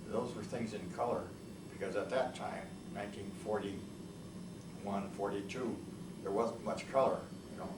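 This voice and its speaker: An elderly man who spoke Italian at home as a child, recounting his past in an oral history interview, rambling and hesitant with many restarts. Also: fairly low pitch. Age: older. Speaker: veteran interviewee. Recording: echoey room, mic far off, noisy.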